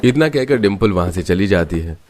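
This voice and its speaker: A man's voice: sits low, animated, brisk, a little mellow. A man narrating a scripted cartoon story.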